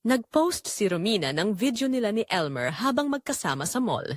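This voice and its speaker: A woman's voice: mid-range voice, big pitch swings, moderate pace, no echo, clean studio sound. A woman with a Filipino accent narrating a scripted dramatic story.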